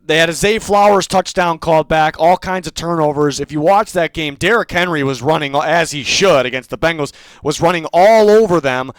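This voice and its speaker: A man with a fairly high voice hosts a casual football picks show. He rambles, circles back on his own phrases and jokes around. The sound is dry, with no room around it. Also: normal speed, smooth, dry recording, low-quality recording. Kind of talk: casual sports chat.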